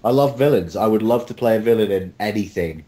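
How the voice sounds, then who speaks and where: A man with a fairly low voice takes part in casual group banter as a guest. His manner is jokey and irreverent. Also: brisk, dark tone. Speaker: voice actor and panel guest.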